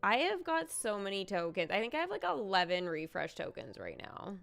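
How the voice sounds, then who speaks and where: A woman hosting a live game stream in casual, excitable chat, thinking aloud as she goes. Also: medium pitch, moderate pace, creaky, faint music behind. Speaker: livestream host, gamer.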